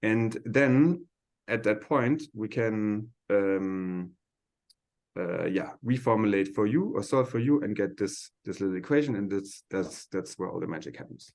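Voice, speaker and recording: A man's voice: lowish voice, a little slow, darkish tone. A man lecturing at a seminar in an informal, hesitant way, thinking aloud as he explains technical material step by step.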